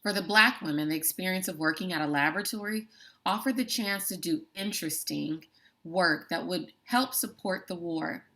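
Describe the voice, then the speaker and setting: A woman's voice: lowish voice, bright, forceful tone. Woman teacher reading a book aloud. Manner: slow, deliberate reading with emphatic words and brief asides. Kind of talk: classroom read-aloud of a book.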